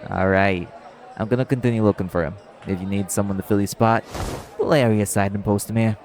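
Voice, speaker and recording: Man voicing no-nonsense club tailor, gravelly low voice, brisk, direct, informal, audio drama. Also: crowd noise, noisy background, lightly acted. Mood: brisk, businesslike. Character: brisk, businesslike male tailor at a nightclub. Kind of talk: audio roleplay acting addressed to the listener.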